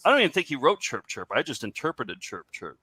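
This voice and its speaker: A man's voice: medium pitch, huge pitch swings, quick pace, bright, forceful tone, breathy edge, no echo. A man hosting a group table read with casual, jokey, interjecting chat. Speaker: show host running a table read.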